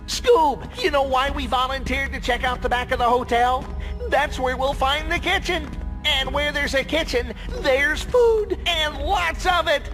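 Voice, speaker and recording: An actor playing a goofy, cowardly, food-obsessed young slacker in a cartoon game, with an excitable drawl. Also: male voice, extremely high pitch, measured pace, faint music behind, heavily acted, low-quality recording. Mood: eager, hungry. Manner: drawling, excitable, says "like" often.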